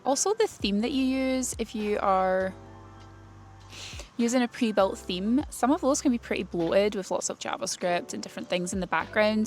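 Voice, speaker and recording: A woman presenting an informal instructional explainer in a plain-spoken, explanatory way. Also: mid-range voice. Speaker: presenter of a website tutorial series for writers.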